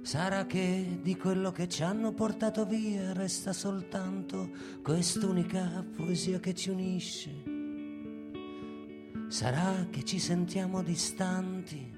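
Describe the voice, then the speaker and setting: Performer for slow poetic recitation, mid-pitched, with prominent guitar accompaniment. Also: steady tone. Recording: clear guitar music over the voice. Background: plucked guitar.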